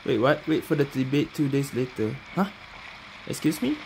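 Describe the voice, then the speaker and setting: A woman's voice: very deep voice, lively intonation, normal speed, background noise. A woman game streamer giving casual playthrough commentary, muttering her reactions as she plays. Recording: loud game music behind the voice.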